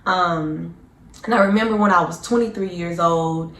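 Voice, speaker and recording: Hoarse raspy young woman, echoey room. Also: normal speed, fairly bright tone. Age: 20s. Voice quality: deep and raspy. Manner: chatty, earnest, talks straight to viewers. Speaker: vlogger. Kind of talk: casual personal storytelling to camera.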